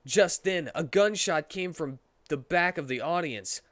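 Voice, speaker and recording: A man's voice: fairly high pitch, lively intonation, measured pace, a bit bright, no room sound. Man doing a dry-room comedic dramatic reading. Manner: breaks into laughter, stumbles over words. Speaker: streamer reading a story aloud.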